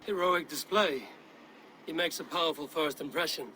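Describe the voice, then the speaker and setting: An actor playing a bold, proud Viking warrior in a dramatic game cutscene. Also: male voice, fairly high pitch, moderate pace, pushed and bright, a little hiss or hum, poor audio. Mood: measured, proud.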